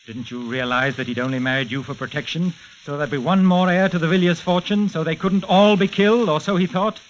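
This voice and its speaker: An actor playing a smooth, condescending murderous villain in a 1940s radio thriller. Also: male voice, on the high side, brisk, close to an everyday voice. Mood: coldly menacing. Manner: suave, mocking, condescending. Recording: muffled, hissy 1940s radio recording.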